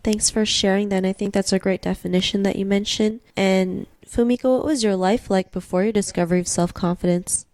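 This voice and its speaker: A woman's voice: mid-range voice, steady tone, fairly quick, few pauses, a little mellow, no room sound. A young woman hosting an interview podcast, upbeat and formulaic in her questions. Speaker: podcast host.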